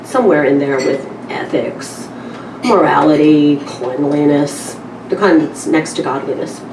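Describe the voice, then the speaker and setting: A woman's voice: low voice, unhurried. Woman at a live reading, distant and echoey. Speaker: publisher and editor presenting a reading. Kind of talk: live literary reading and informal talk to an audience. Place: live event room, away from the microphone. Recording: roomy, distant live recording with noise.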